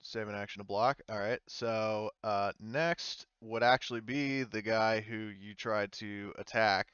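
A man's voice: on the low side, fairly even intonation, measured pace, fairly bright tone, smooth, no echo, muffled recording. A man acting as game master for an online tabletop game, speaking informally with some swearing.

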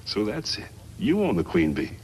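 A man's voice: fairly low pitch, wildly swooping pitch, normal speed, a little mellow. An actor playing a blunt, cocky hired henchman and mine foreman in a 1950s radio western. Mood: questioning, impressed. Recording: noisy vintage 1950s radio recording.

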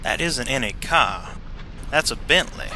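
A man's voice: medium pitch, lively intonation, measured pace, vocal fry, bright, forceful tone, slight background noise, full character voice. A man playing a brash, sardonic 1950s American husband in an amateur radio play. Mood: wry. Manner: sardonic, breezy. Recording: busy outdoor crowd and music behind.

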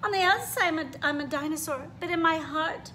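A big gentle dinosaur longs to dance, speaking in a sweet, hopeful tone. Her voice sits high and bright, as a woman reading a picture book puts her on. A faint hum of the room lies behind her. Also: a little fast. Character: big gentle female dinosaur dreaming of ballet.

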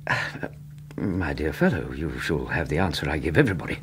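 An actor with a British accent playing a courteous, sceptical, easily flustered Edwardian academic in a radio drama. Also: male voice, very deep voice, animated, fairly quick, a little hiss or hum. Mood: dry, measured. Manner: donnish, courteous, fussing.

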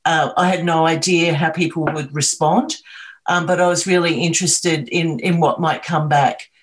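A middle-aged Australian woman, a video artist, talking about her work on a video call, with many pauses, ums and restarts. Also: low-pitched, steady tone. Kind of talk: artist talk on a video call, sharing screen.

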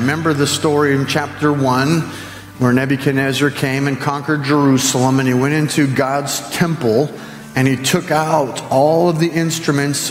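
A man in his fifties preaching a sermon from a church stage, gesturing and slowing down to stress key phrases. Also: medium pitch. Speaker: pastor. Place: church stage, large hall. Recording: echoey hall with music behind.

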